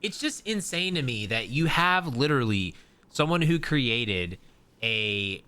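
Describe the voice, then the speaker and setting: A young man hosting a podcast, chatting excitably in a rambling way with many restarts. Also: mid-range voice, a little slow, smooth. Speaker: podcast host. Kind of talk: casual chat.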